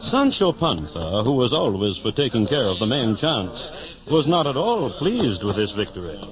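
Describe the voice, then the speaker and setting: A man's voice: mid-range voice, sing-song, moderate pace, over music. A man narrating a 1950s radio drama in a scripted, dramatic storytelling style. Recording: muffled old radio recording.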